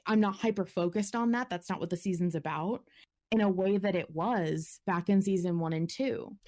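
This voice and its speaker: A woman with a fairly low voice talks as a podcast co-host. She is enthusiastic and expressive, in a casual fan-discussion style. Her language is informal and a little sweary. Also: normal speed. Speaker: podcast co-host discussing a horror audio drama.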